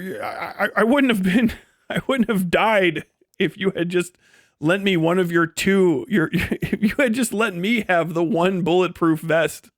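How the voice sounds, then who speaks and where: High-voiced man, sweary and incredulous. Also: no room sound, studio quality. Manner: breaks often into laughter while talking. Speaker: live streamer. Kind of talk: casual rant and commentary to a stream audience.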